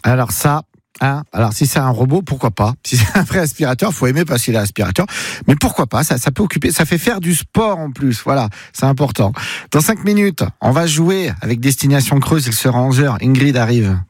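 A man's voice: mid-range voice, expressive, brisk, breathy edge, no room sound, studio quality. A man hosting a radio show, presenting segments and trailing what comes next. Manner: upbeat, announcing. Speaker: radio host.

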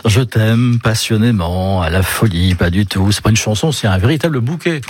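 A man presenting a morning radio segment in a lively patter. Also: on the low side, moderate pace, dry recording. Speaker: radio host.

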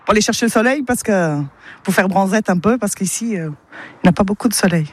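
Cheerful Italian-born woman chatting. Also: medium pitch. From Italy. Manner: cheerful, laughs easily, halting. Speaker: villager interviewed in the street. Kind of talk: casual chat.

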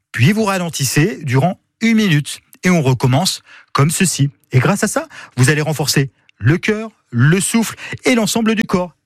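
A man's voice: fairly high pitch, breathy edge, no room sound, clean studio sound. A man, a sports coach on the radio, guiding listeners through breathing exercises in an upbeat, encouraging way.

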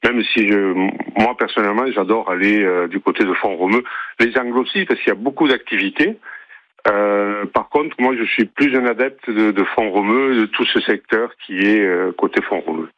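A middle-aged man from southern France calling in to a radio show, rambling with many pauses. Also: lowish voice, no echo. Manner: rambling, hesitant with many fillers. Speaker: caller. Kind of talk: phone-in chat. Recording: muffled phone-line audio.

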